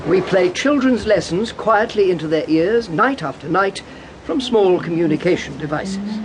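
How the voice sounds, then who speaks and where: A man's voice: high-pitched, background noise. A man acting in a radio drama as an authoritative director, lecturing in a proud, didactic, declamatory way. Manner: declamatory, didactic, self-satisfied. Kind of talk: full-cast radio drama, lecture-like delivery.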